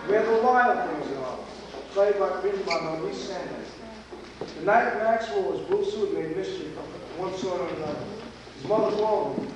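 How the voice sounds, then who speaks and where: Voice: medium pitch, expressive, normal speed, a crowd in the background. A performer narrating a children's story on stage in a declamatory, storytelling manner. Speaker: reader's theater narrator. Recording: echoey hall, distant mic, noisy amateur tape.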